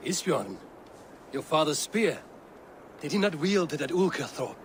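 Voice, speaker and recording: An actor playing a calm, dutiful Viking warrior in a video game cutscene, with outdoor noise behind. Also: male voice, fairly high pitch, unhurried. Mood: measured, curious.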